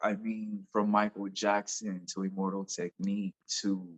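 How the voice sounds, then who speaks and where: A man's voice: lowish voice, little pitch movement, moderate pace, highs cut off. A man with a Nigerian accent, a music artist guest talking casually and enthusiastically in an interview, often repeating words as he goes.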